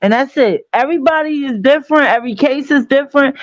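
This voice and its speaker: A woman's voice: high-pitched, fast, no echo, dull audio. A woman from Trinidad, a caller in a casual live chat, insistently repeating her point in a heated debate.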